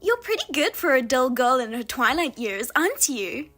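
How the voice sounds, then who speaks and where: A woman plays a husband-stealing younger sister in a voiced drama, smug and taunting, her high voice leaping all over as she drags out her words. Music plays underneath her. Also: sing-song, a bit bright, full character voice. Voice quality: high and sing-song, taunting. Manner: mocking, self-satisfied, drawn-out teasing.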